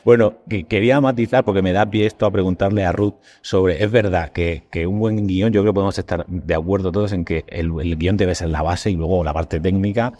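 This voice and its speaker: A man's voice: deep voice, steady tone, brisk, few pauses, darkish tone. A man with an accent from Spain hosting a casual interview, asking long, wordy, reflective questions. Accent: Spanish from Spain. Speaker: podcast host.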